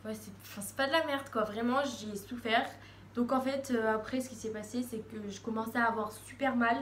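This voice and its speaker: Une adolescente qui parle face à sa caméra, bavarde, hésitante, avec beaucoup de « euh » et de reprises. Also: female voice, on the high side, steady tone, brisk, a bit bright. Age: teens. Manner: chatty, rambling, many fillers and restarts. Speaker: vlogger. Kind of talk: casual talk to camera. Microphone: phone camera. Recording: echoey room, faint background noise.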